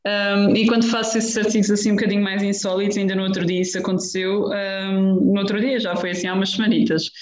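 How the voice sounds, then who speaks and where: A woman's voice: mid-range voice, little pitch movement, fast talker, few pauses, echoey room, low-quality recording. A woman journalist with a European Portuguese accent, chatting in a radio interview, rambling and hesitant with many restarts. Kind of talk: casual radio interview chat.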